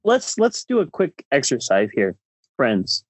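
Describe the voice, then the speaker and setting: A man's voice: mid-range voice, wildly swooping pitch, measured pace, breathy edge, dry recording. A man co-hosting a podcast, chatting casually with blunt, opinionated delivery. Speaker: podcast co-host.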